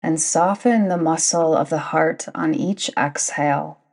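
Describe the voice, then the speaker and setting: A woman guiding a yoga nidra meditation in a soothing, deliberate manner, with long pauses between phrases. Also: deep voice, creaky, darkish tone. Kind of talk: guided meditation narration.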